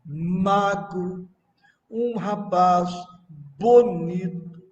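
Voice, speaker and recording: An older or middle-aged Brazilian man giving a religious lecture with anecdotes, speaking deliberately and oratorically in short, emphatic phrases, as a Spiritist lecturer. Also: sits high, muffled recording. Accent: Brazilian Portuguese. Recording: echoey reverberant room, distant microphone.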